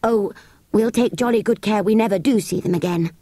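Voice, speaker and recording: A woman's voice: medium pitch, brisk, warm tone, no room sound, lightly acted. A woman voicing a plucky, earnest young schoolboy in a children's storybook. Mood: cheeky.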